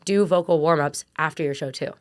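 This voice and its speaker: A young woman with a raspy voice, a singer and vocal coach, chatting in an interview with lots of fillers. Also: sits low, brisk, dry recording. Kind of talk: casual interview chat.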